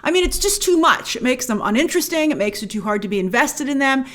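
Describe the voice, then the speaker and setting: A woman's voice: high voice, very animated, fast, a bit bright. A woman hosting a review, giving opinions in an emphatic, informal, conversational way. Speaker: film and game review show host.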